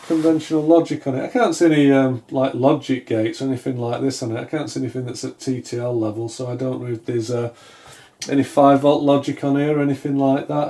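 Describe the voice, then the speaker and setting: A man's voice: medium pitch, mellow. A man giving a casual hands-on repair commentary, tentatively thinking aloud as he works. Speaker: electronics repair hobbyist, video host. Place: indoors at a wooden workbench.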